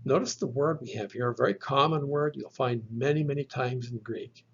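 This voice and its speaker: A man's voice: medium pitch, normal speed, breathy edge, muffled recording. A man giving a recorded lecture on Greek pronunciation, methodically repeating each sound for the listener. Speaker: Greek language teacher.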